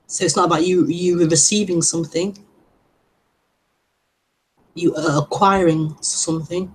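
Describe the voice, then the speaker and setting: A woman with a stutter, hosting an online meeting and giving a gentle, reflective spiritual talk with frequent repetitions and blocks. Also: on the low side, fairly even intonation, unhurried, slightly rough, rough recording. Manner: gentle, reflective, laughs lightly at herself. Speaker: non-duality teacher hosting an online meeting. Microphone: online call broadcast.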